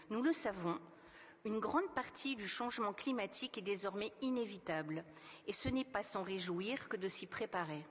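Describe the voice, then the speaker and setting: A woman with a French accent from France delivers a scripted council speech in a formal, measured manner. Her mid-pitched voice rings with the long reverb of a big chamber. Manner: formal, measured phrasing with rhetorical questions. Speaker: city councillor giving a speech. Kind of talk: formal scripted political speech, read aloud. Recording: reverberant hall sound.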